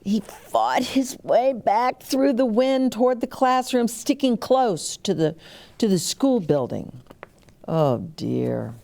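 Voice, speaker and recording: Slow, very expressive woman reading to children. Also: a little high, creaky. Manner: animated, explaining to young listeners. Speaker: storyteller reading picture books aloud. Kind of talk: expressive children's read-aloud with chatty asides.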